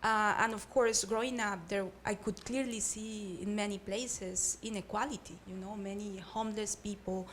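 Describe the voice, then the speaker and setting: Middle-aged Colombian woman with an accent, measured panel talk, mid pitch, faint room hiss. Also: steady tone, a bit bright. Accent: Colombian Spanish. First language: Spanish. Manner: earnest, measured, frequent hesitations. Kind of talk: panel discussion. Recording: faint background noise.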